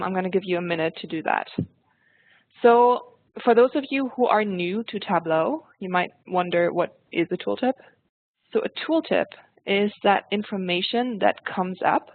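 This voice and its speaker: A woman's voice: mid-range voice, creaky, no room sound. German-accented woman on a tinny line. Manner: friendly, explanatory, addresses audience directly. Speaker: webinar presenter. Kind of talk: software tutorial webinar. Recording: dry tinny phone-like line.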